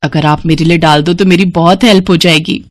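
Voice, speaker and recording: A woman's voice: fairly low pitch, steady tone, fairly quick, natural voice. A woman voicing an admiring, friendly young woman in a moral story. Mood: eager request.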